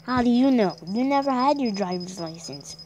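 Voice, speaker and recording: A woman's voice: on the high side, lively intonation, normal speed, a little mellow. A woman doing playful gameplay commentary with roleplay banter, on a noisy home recording. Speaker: gamer playing a roleplay.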